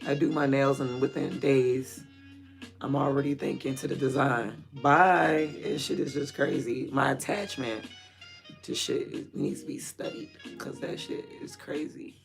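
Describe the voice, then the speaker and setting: A raspy woman in her thirties talks straight to her livestream viewers, candid and rambling, sometimes loud and sometimes dropping to a mutter. Her voice has a gravelly fry running through it. Faint music plays behind her. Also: very deep voice, very animated, measured pace. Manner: candid, rambling, drifts between loud and murmured asides. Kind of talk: casual livestream chat.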